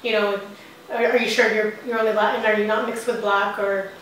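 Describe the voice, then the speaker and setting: A woman's voice: mid-range voice, little pitch movement, fairly quick, a bit bright, a little hiss or hum. A woman answering interview questions casually, hesitant, with many fillers and restarts. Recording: echoey room from a distance.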